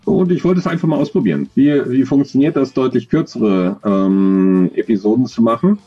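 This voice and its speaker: A man's voice: mid-range voice, moderate pace, breathy edge, faint music behind. Hesitant man on a dull video call. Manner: thoughtful, many hesitations and fillers. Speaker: audio drama author, interview guest. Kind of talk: relaxed conversational interview.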